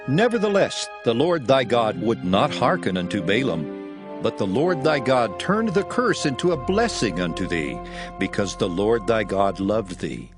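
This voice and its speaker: A man reading scripture aloud as a narrator, solemn and measured, in a dramatized style. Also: medium pitch. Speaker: Bible narrator.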